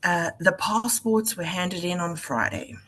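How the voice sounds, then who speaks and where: A South African woman talks casually as a participant on an online call. Her voice sits a little low for a woman. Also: unhurried, fairly bright tone, a little hiss or hum. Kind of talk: casual chat. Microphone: video call.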